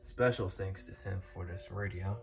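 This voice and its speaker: A man giving a casual show-and-tell narration as a hobby video host, with a husky voice and a halting, rambling manner. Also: low-pitched, fairly even intonation, soft background music.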